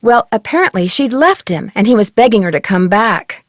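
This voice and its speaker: Young lady detective for a mystery game, composed n articulate, normal pitch, no room sound. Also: sing-song, normal speed, no echo, clean studio sound. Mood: chatty, explaining. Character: young female amateur detective, polite, curious, level-headed. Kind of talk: scripted mystery game voice acting.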